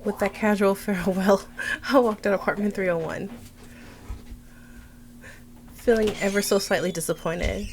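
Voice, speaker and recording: A woman reading a visual novel aloud with casual side remarks, halting and stumbling over words now and then. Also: mid-range voice, fairly even intonation. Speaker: video game streamer reading aloud and commenting. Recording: very noisy home recording.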